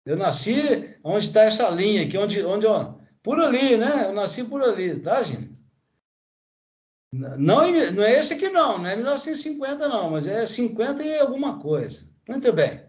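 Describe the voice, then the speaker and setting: A man's voice: sits high, very animated, moderate pace. A Brazilian man in his sixties or older, a chemistry teacher giving a lecture, pointing at a graph and tagging nearly every phrase with questions to his class. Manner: pointing at a graph with constant tag questions and fillers. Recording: echoey room.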